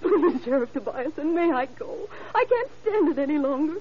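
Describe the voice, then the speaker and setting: A woman's voice: high-pitched, animated, normal speed. An actress playing a distraught, grieving, vengeful woman witness in an emotional 1930s radio drama style. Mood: grieving, distressed. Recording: muffled 1930s radio recording.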